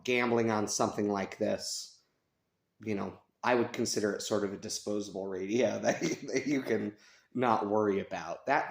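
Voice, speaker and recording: An American man giving a casual, unscripted review, thinking aloud with hesitant pauses. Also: lowish voice. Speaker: radio reviewer. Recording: clear radio static and hiss behind. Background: shortwave radio static and stations.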